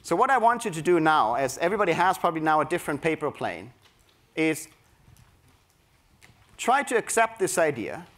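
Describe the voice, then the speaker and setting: A man's voice: a little high, very animated, moderate pace, long pauses, fairly bright tone. A man from Germany with a German accent, speaking to a live audience and coaching them through a creativity exercise. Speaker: workshop speaker. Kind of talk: interactive keynote talk.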